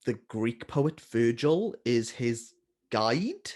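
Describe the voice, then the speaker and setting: A man's voice: mid-range voice, very animated, drawn-out words, darkish tone. A man co-hosting a casual, unscripted book vlog with a playful, dryly teasing manner. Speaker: book vlogger and readalong co-host.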